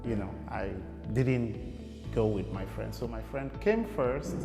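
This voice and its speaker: A man's voice: medium pitch, slow, creaky, warm tone, soft background music. A young Nigerian man, a guest in an interview, talking casually and rambling with many fillers. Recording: echoey room with long reverb.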